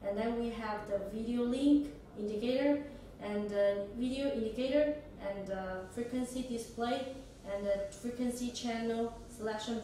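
A young woman demonstrates a product step by step, speaking in halting phrasing. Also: a little high, a little mellow. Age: young adult. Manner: halting non-native English phrasing. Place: small indoor office or meeting room. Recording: echoey room, distant mic, noisy background.

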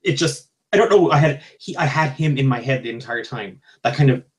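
A man's voice: mid-range voice, animated, fairly quick. A man, chatting about books in a rambling, hesitant way that doubles back on itself. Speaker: book club panelist. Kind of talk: casual book discussion.

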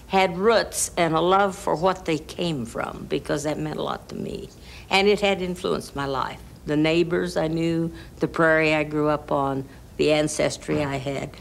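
An older woman from western Kansas reflects in an interview. Her voice is low and gravelly with plenty of creak, and there is some background ambience as she thinks aloud. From western Kansas, United States. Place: indoors in a wood-panelled room. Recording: background noise, room ambience.

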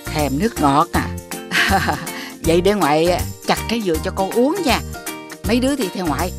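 A woman's voice: on the low side, animated, moderate pace, music in the background, lightly acted. A woman voicing a kindly but feisty old grandmother with a Southern Vietnamese accent and a folksy, scolding way of talking. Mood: gentle. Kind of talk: cartoon dubbing.

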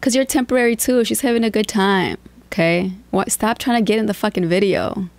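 A woman's voice: mid-range voice, a little fast, creaky, no echo. A woman hosting a reaction video, blunt and chatty, giving her opinions plainly. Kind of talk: casual reaction commentary.

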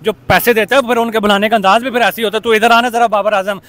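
A man's voice: high-pitched, steady tone, fast, fairly bright tone, dry recording. A young man speaking mockingly and with exasperation in a street interview at night. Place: city street at night, outdoors.

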